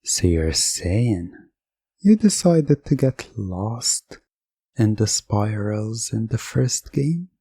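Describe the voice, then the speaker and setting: A man playing a suave, teasing, controlling game show host in a hypnosis ASMR roleplay, with drawn-out, coaxing delivery and dramatic pauses. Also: fairly low pitch, big pitch swings, mellow, husky, full character voice. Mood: probing, commanding.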